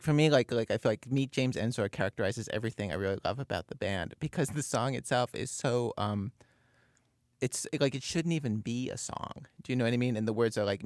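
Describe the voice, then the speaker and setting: A man telling an anecdote in casual chat, halting and circling back over his words. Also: medium pitch, a little mellow, no room sound, studio quality. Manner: halting, repeats himself mid-thought.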